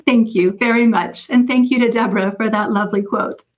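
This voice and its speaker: A woman novelist speaking as a podcast guest, answering conversationally in a measured, articulate manner. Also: on the high side, steady tone, highs cut off. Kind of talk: conversational anecdotal interview answers.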